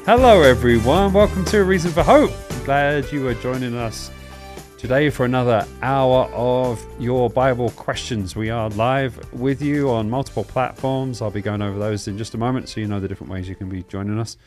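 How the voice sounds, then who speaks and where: A man's voice: medium pitch, normal speed, a little mellow, soft background music. A man hosting a live question-and-answer broadcast, chatty and welcoming, explaining things informally. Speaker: host of a live Bible question show.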